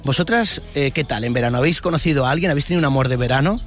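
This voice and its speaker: A man's voice: medium pitch, rapid-fire, faint music behind. A man interviewing people on the street as a reporter, chatty and teasing, with lots of exclamations and follow-up questions. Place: street, outdoors. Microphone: handheld microphone.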